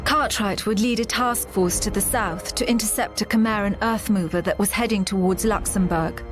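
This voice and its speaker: An actress playing a female military officer who recounts a war in grave, measured scripted narration for a game. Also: medium pitch, natural voice. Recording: music behind the voice.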